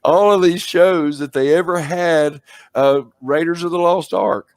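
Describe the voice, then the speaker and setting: A man's voice: fairly high pitch, measured pace, darkish tone, dry recording. Middle-aged male host, casual and chatty, laughs a lot, dry close mic. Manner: chatty, laughs often at his own stories. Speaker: podcast host. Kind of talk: casual chat.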